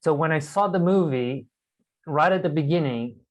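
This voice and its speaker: A man's voice: fairly high pitch, normal speed. A man from Brazil, a podcast guest, telling his story in a candid, reflective and self-amused way over a video call. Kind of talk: casual storytelling conversation.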